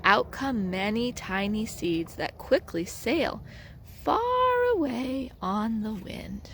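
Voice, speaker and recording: A woman reading a children's picture book aloud as a storyteller, in an expressive, deliberate way with dramatic emphasis. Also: mid-range voice, slight background noise. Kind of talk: children's read-aloud narration.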